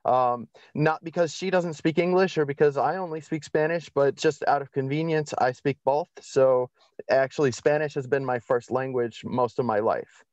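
A man is a guest in a conversational interview. He explains emphatically, correcting himself as he goes, at a middling pitch. The recording is dry and somewhat dull and lo-fi. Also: normal speed, a little mellow, no room sound. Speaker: podcast guest. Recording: slightly dull lo-fi audio.

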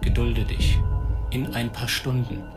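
An actor playing a calm, sympathetic death-row prison guard with measured delivery in a radio drama. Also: male voice, medium pitch, loud music behind the voice, lightly acted. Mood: subdued, patient.

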